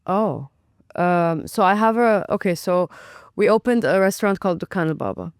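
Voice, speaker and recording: A young woman from Lebanon who owns a restaurant, talking as a guest in a chatty, animated way and acting out her own past thoughts. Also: lowish voice, moderate pace, no echo, clean studio sound. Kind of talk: casual interview.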